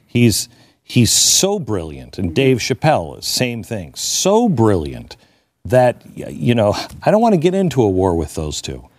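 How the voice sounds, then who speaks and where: Animated man hosting, dry close sound. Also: mid-range voice, a little slow, dry recording. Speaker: talk show host. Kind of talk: conversational discussion.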